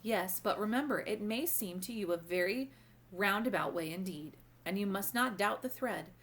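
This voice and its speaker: A woman voicing a kindly, wise old grandmother in a storybook, gently and formally giving instruction. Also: medium pitch, moderate pace, close to an everyday voice. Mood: instructing. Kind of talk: storybook read-aloud character voice.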